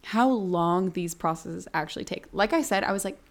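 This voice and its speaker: A woman's voice: fairly low pitch, normal speed. A young woman co-hosting a casual podcast, talking things through in a reflective way and explaining with everyday comparisons. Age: young adult. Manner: reflective, uses metaphors, backchannels "yeah". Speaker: podcast co-host. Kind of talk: casual chat.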